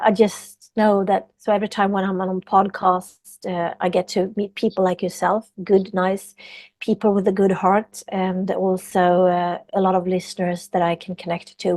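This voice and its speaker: A woman's voice: medium pitch, darkish tone, no room sound. A woman from Sweden with a Swedish accent, a yoga teacher talking earnestly as a podcast guest and repeating phrases for emphasis. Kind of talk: podcast interview.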